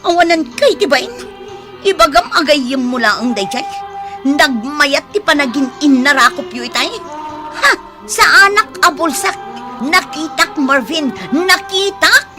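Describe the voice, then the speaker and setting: A woman's voice: high-pitched, expressive, a little slow. An actress playing a hot-tempered, hurt young girlfriend in an Ilocano radio drama. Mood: furious. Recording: dramatic music behind the voice.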